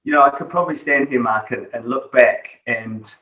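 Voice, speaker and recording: A man's voice: medium pitch, normal speed, highs cut off. Reflective New Zealand man, roomy sound. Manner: reflective, many fillers and restarts. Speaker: guest, tech executive. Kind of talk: conversational interview. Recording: echoey room, distant from mic.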